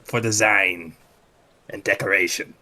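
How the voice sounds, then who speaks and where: Lowish man, polite tabletop player. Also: steady tone, a little slow, sharp tone. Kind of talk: tabletop game, describing his character and speaking in character.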